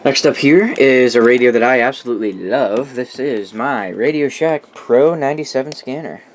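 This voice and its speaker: A man's voice: mid-range voice, moderate pace, a little hiss or hum. A man presenting a collection in a casual, unscripted way, informal and opinionated, swearing freely. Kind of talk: casual unscripted product walkthrough.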